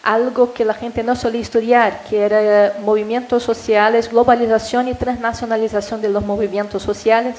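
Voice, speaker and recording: A woman's voice: medium pitch, a little mellow, low-quality recording. A woman from Brazil whose first language is Portuguese, speaking Spanish with a Brazilian accent in a hesitant academic talk full of fillers and tag questions. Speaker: invited speaker at a university panel.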